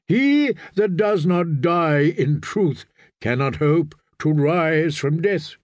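A man's voice: a little high, expressive, slow, no echo, clean studio sound. A man voicing a stern, fervent sea-god priest in a fantasy audiobook, solemn and commanding. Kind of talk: dramatic fantasy audiobook character voice.

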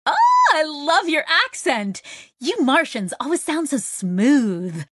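A woman voicing a bubbly, excitable young woman mechanic with a gushing, hugely friendly manner in an audiobook story. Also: a little high, moderate pace, no echo, studio quality. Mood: excited. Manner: gushing, exuberant.

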